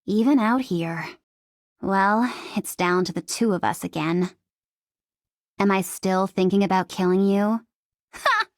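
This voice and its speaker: A sardonic mercenary woman from a video game, acted by a woman, speaks at a medium pitch in a very dry studio sound. She taunts and teases with cocky sarcasm, playfully menacing and happy to threaten destruction. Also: dry recording, close to an everyday voice. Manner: sarcastic, taunting, teasing delivery. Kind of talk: video game character voice lines.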